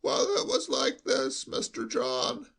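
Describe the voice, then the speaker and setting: A man reading a story aloud and voicing a timid old male butler with a high, bleating, quavering voice and a slow, deferential, hushed way of talking. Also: wildly swooping pitch, vocal fry, breathy edge, full character voice. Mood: timid, confiding.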